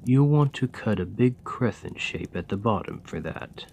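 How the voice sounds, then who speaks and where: A man playing a kind, patient gravedigger in a soft, soothing, encouraging ASMR roleplay. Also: fairly low pitch, lively intonation, moderate pace, vocal fry, noisy background. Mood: gentle encouraging. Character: kind, gentle gravedigger, patient and playfully fond. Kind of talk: ASMR roleplay, scripted comforting monologue.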